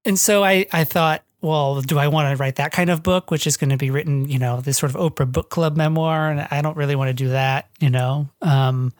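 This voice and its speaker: An American author speaks in a reflective interview style, at a middle pitch. The delivery is halting and discursive, as thoughts get worked out aloud. The sound is dry and close, with very little room in it. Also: fairly even intonation, no room sound. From northwest Ohio, United States. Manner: halting, discursive, thinking aloud with frequent hedging. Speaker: guest author of a memoir. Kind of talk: reflective podcast interview talk.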